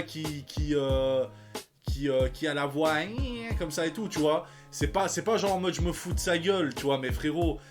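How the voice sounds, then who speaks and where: A man in his thirties giving casual reaction commentary, talking in slang with lots of sighs, fillers and restarts. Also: a little high. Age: 30s. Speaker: reaction channel host.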